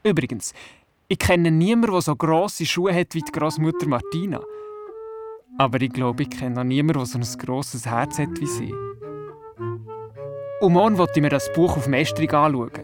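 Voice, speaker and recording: A man's voice: a little high, moderate pace, pauses often, over music, close to an everyday voice. A man with a Swiss German accent playing a young, curious boy who narrates and talks in a radio play. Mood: reflective. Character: young boy on holiday at his grandmother's, curious.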